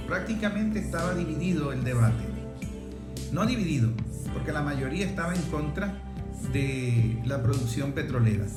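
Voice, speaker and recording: A Venezuelan man presents political commentary in a slightly raised pitch. He sounds conversational yet didactic, pausing for emphasis. The room adds clear echo, and music plays underneath. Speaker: host. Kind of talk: political commentary to camera, explanatory. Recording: roomy echo with music behind. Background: background music.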